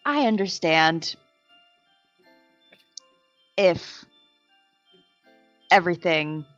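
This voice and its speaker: A woman in a tabletop game speaks slowly and thoughtfully, pausing to find her words. The sound is dry and close, with a dull, lo-fi band. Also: on the low side, animated, no room sound, faint music behind. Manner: hesitant, thoughtful. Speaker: player. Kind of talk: casual tabletop roleplay.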